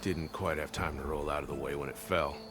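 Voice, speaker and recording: A man's voice: a bass voice, fairly even intonation, brisk, music in the background. A man playing a brash, good-humoured soldier in a video game, with a colloquial, wisecracking manner. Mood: wry. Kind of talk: video game cutscene acting.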